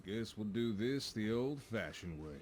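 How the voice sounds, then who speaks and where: An actor playing a laconic, cocky young gunslinger with a gravelly American voice in a video game. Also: male voice, fairly low pitch, expressive, a little slow, darkish tone, a little hiss or hum. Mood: cool menace.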